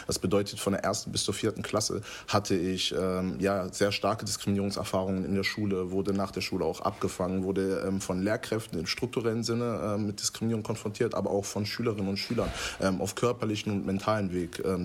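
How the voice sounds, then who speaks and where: A young man who runs school workshops on discrimination, telling his story casually on a panel and hunting for words with many fillers. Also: on the low side. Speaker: anti-discrimination school workshop trainer, guest. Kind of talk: personal account in a panel talk.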